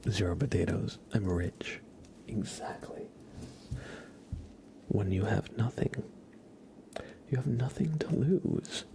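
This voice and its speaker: Male ASMR host, close-miked whisper with gravelly fry, unhurried casual chat to viewers. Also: fairly low pitch, lively intonation. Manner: soft, drawn-out, repeats words slowly.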